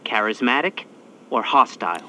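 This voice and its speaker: A brisk agency handler. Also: male voice, medium pitch, animated, pushed and bright, a little hiss or hum. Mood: briefing. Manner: crisp, urgent instructions. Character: male spy agency handler, brisk and urgent. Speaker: mission handler. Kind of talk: scripted game dialogue.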